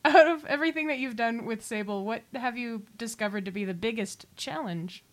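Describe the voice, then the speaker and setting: A woman's voice: fairly high pitch, fairly quick. A woman co-hosting and interviewing on a podcast, chatting casually in an encouraging manner. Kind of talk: casual chat.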